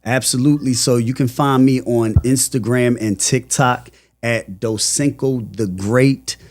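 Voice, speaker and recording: A man, talking casually as a podcast guest in an animated, emphatic, slangy way. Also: medium pitch, a little slow. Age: 40s. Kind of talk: casual conversational interview.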